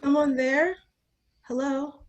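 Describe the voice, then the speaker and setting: A woman's voice: high-pitched, fairly even intonation, slow pace, noisy background. A woman playing an injured woman possessed by an evil killer, in a dramatic screenplay read. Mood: frightened, pleading.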